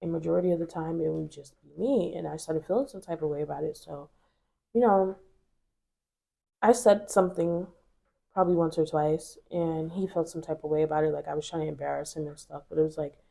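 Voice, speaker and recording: Congested young woman, gravelly low voice. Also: fairly even intonation, fairly quick, dark tone. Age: 20s. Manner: chatty, candid, lots of fillers and swearing. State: congested from a cold. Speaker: vlogger. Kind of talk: casual storytelling to camera.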